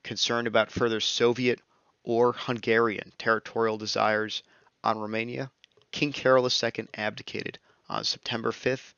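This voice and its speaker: A young man narrating a scripted history lesson in a steady, measured reading voice, as a close voice-over. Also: on the low side, vocal fry, no echo. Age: young adult. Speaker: student narrator. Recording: dull lo-fi dry recording.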